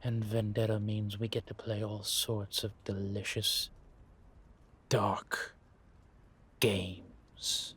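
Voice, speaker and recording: Male actor as sly vampire spy, lowish husky voice, very unhurried, playfully predatory, theatrical, audio drama monologue. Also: flat delivery, fairly bright tone, heavily acted. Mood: wry, relishing. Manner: theatrical, savoring, drawn-out musing with pauses. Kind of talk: scripted audio roleplay monologue addressed to a companion.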